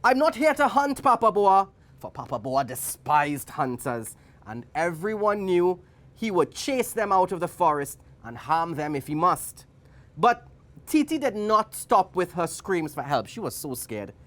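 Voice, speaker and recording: A woman's voice: deep voice, big pitch swings, measured pace. A woman with a Trinidadian accent reading a storybook aloud to children, theatrical and playful, with chatty asides. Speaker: guest story reader for children.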